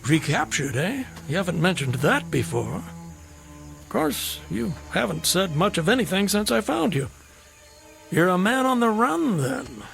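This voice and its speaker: An actor playing a garrulous, whimsical, hard-drinking drifter in a radio drama, with wry, theatrical, wordy phrasing. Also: male voice, high voice, very animated, a little slow, creaky, fairly bright tone, soft background music, full character voice. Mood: teasing, curious.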